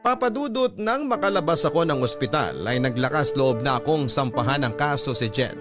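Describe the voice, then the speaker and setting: A Filipino man narrates a confessional letter as a radio host. His voice is fairly low, earnest and measured, with prominent music running beneath it. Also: animated. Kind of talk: scripted dramatic story narration. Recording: music bed under the voice.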